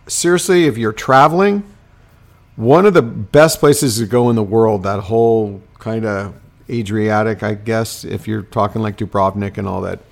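A man co-hosting a casual sports podcast, chatting in an animated, bantering way. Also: on the low side, normal speed, gravelly.

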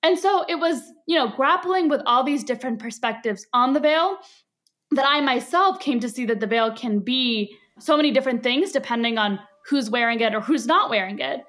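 A woman's voice: high-pitched, animated, normal speed, fairly bright tone. A young woman from Egypt, a podcast producer, interviewing a guest in an earnest, polite and thoughtful way. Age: young adult.

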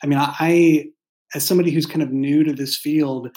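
A man's voice: on the high side, fairly even intonation, fairly quick, darkish tone. A man speaking as a writer in a casual behind-the-scenes discussion, with a thoughtful, hesitant, rambling way of phrasing things. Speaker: writer and creator of an audio drama.

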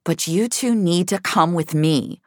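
A woman's voice: fairly low pitch, a little mellow, dry recording, clean studio sound, voice pushed up. Woman playing a sardonic, awkward woman on the run. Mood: urgent, assertive. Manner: awkward and halting, or dryly defiant. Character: Finch, sardonic, tough but socially awkward woman on the run.

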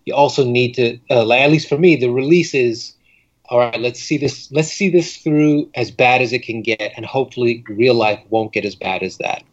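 A man speaking as a writer being interviewed, thoughtful and halting, with frequent reflective pauses. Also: medium pitch, steady tone. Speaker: guest fiction writer. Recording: dull lo-fi recording.

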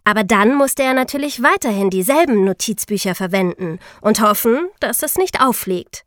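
A woman's voice: medium pitch, expressive, moderate pace, a bit bright, dry recording, studio quality, close to an everyday voice. A woman playing a young podcast reporter, telling true-crime history in scripted, conversational narration. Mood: explanatory.

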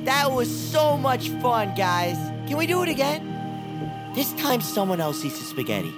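High, swooping, gleeful little kid. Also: female voice, sing-song, moderate pace, loud music behind the voice. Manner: exuberant, playful. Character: gleeful, mischievous, excitable small child. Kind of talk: audio drama acting.

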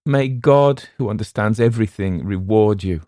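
Man acting storybook castaway, male, husky, hopeless, formal and halting, raised pitch, dry sound. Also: darkish tone, no echo, close to an everyday voice. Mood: grateful. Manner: halting, pleading, old-fashioned speech. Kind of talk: storybook character voice.

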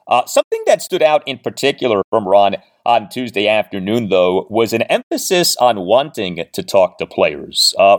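A man hosting a sports podcast in an emphatic, deliberate monologue, often repeating phrases for weight. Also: mid-range voice, no room sound, clean studio sound. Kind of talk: sports commentary monologue with sponsor read.

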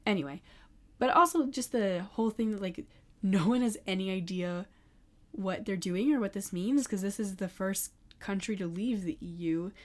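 A young woman with an American accent, co-hosting a podcast in quick, opinionated casual chat full of "like" fillers. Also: mid-range voice. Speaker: podcast co-host.

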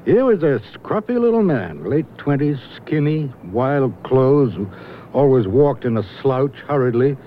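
Wry, knowing gossip, swinging pitch, theatrical emphasis, tinny vintage radio sound with hiss. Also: male voice, sing-song, measured pace. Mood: wry, gossipy. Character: gossipy, knowing man sizing up an oddball acquaintance. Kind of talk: 1970s radio drama acting. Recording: tinny, band-limited old radio recording with hiss.

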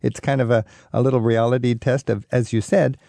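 A man's voice: mid-range voice, flat delivery, a little fast, creaky, mellow, no echo. A man hosting a radio travel show, interviewing a guest in a casual, chatty, conversational way.

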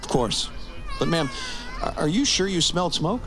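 An actor playing a calm, dismissive emergency responder in an audio drama, casual, patronizing and matter-of-fact. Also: male voice, a little high, moderate pace, noisy background. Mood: matter-of-fact, puzzled.

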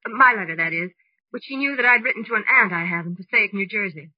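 Quick, anxious actress as an American woman in trouble. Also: mid-range voice, dry recording, close to an everyday voice. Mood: uneasy. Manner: earnest, pleading, hurried. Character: anxious young woman seeking a detective's help. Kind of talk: 1940s radio drama acting. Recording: muffled old radio recording.

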